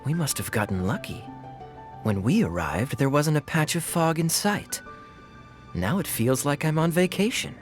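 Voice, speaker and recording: A man's voice: a little high, big pitch swings, normal speed. A man voicing a suave, sly young knight captain in game cutscenes, with a wry, teasing way of talking. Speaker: game character. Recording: game audio with faint music.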